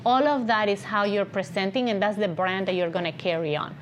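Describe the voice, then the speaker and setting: A woman's voice: mid-range voice, fairly quick, low-quality recording. A woman with a foreign accent, the encouraging guest speaker at a business event, chatting to the room over slight background noise. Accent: non-native English accent. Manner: animated encouragement, often checks in with "right?". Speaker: guest speaker, agency founder and CEO. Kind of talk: conversational motivational business talk.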